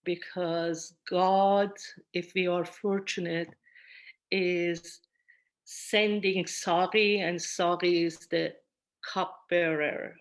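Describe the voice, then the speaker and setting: A woman giving a host's welcome and reading poetry in measured phrases with pauses between them. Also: on the low side, steady tone. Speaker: event host, school founder and director.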